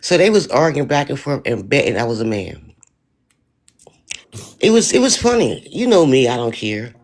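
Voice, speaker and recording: Raspy-voiced woman panelist, gravelly and low, blunt storyteller on a live chat panel. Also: very animated, moderate pace, a little mellow. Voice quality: deep raspy voice. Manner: blunt, talks in long stories. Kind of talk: casual panel discussion.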